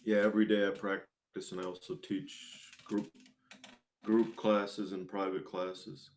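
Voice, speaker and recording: A man with a low-pitched voice speaks as a guest in a casual interview. His phrasing is hesitant and halting, in an unscripted conversational style. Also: flat delivery. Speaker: guest, musician and martial arts instructor.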